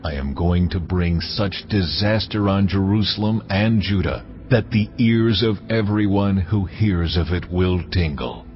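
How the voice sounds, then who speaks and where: A man playing a stern, wrathful prophet declaring divine judgment slowly and solemnly in a dramatised scripture reading. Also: sits low. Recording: slightly dull audio under loud music.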